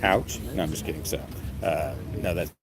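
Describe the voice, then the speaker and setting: A man's voice: very deep voice, fairly even intonation, normal speed, background noise. A man livestreaming from an outdoor festival line in a casual, rambling way, with lots of fillers, restarts and self-deprecating jokes. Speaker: vlogger streaming live. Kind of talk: casual live stream commentary. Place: outdoors in a long festival queue near traffic. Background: crowd chatter.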